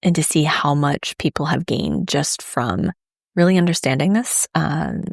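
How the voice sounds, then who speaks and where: A woman astrologer hosting her own podcast, talking in an earnest, gentle, reflective way, her voice scratchy after an illness. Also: deep voice, animated, a little slow, gravelly, no echo, clean studio sound. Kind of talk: solo podcast talk.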